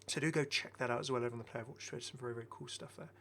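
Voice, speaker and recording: A man's voice: medium pitch, steady tone, racing, gravelly, a bit bright. A middle-aged man giving enthusiastic, casual, chatty commentary as a gaming video host, often repeating his phrases. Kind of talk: casual game skin showcase commentary.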